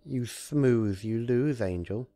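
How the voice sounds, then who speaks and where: A man's voice: mid-range voice, slow pace, warm tone, no echo, studio quality, voice pushed up. A man voicing a smug, gloating, mischievous god of chaos in a cartoon fan story, with a theatrical, taunting delivery.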